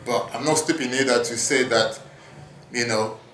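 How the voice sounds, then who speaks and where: A man in his thirties, raised in France, hosting a casual podcast monologue in an animated, hyping way with casual swearing, recorded at a gym. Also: medium pitch, normal speed, reverberant, a little hiss or hum, rough recording. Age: 30s. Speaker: podcast host. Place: gym at work.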